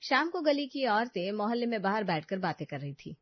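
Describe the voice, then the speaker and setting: A woman's voice: mid-range voice, sing-song, brisk, no echo, lo-fi sound. A woman narrating a scripted story as its storyteller.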